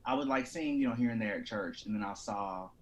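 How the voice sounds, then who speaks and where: A man talks as a guest actor in a casual interview. His pitch is a little low, with a light, jazzy sound. The delivery is loose and conversational. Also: fairly quick. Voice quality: thin, jazzy.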